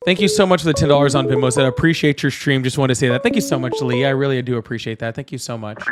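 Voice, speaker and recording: A man's voice: medium pitch, rapid-fire, over loud music. A man hosting a live call-in political debate in a casual style, reading out viewer messages, sarcastic and dismissive with callers.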